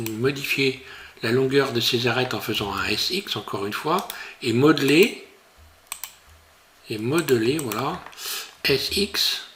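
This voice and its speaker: Bright-voiced man, halting tutorial talk. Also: mid-range voice, a little hiss or hum. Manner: hesitant, full of fillers and restarts. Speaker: software tutorial teacher. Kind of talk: screen-recorded tutorial narration.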